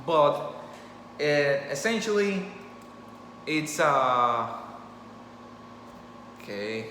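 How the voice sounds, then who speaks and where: A man's voice: on the high side, sing-song, drawn-out words, pushed and bright. A man whose first language is Spanish, talking off the cuff to his phone camera about his storytelling channel, earnest and rambling, often restarting his sentences. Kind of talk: impromptu talk to camera. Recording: echoey room with clear background noise.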